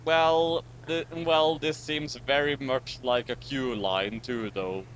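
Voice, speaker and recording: A man's voice: medium pitch, fairly even intonation, takes it slowly, fairly bright tone, slight background noise. A man giving casual commentary as he co-reads a story aloud. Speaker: commentator and co-reader.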